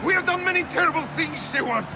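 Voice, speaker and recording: A man's voice: very high voice, fast talker, shouting, loud music behind the voice. An actor playing a defeated arena fighter in a video game, desperately insisting on his innocence. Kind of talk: dramatic video game acting.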